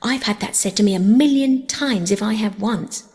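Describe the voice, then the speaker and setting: A woman's voice: medium pitch, normal speed, a little mellow, long reverb tail, close to an everyday voice. A woman voicing a chatty, dreamy, eager young orphan girl for an audiobook, with gushing, wordy delivery. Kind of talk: audiobook character voice.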